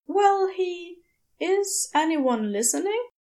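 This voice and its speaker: A woman voicing a fussy, gentle, bookish male angel in a storybook reading, prim and polite. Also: very high-pitched, lively intonation, slow, pitched above the performer's own voice, heavily acted. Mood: anxious, reassuring. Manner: prim, polite, old-fashioned wording.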